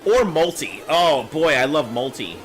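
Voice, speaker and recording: A man's voice: a little high, very animated, measured pace, soft background music, poor audio. A man in his thirties who sounds much younger, chatting casually on a game stream and rambling with fillers. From United States. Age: 30s.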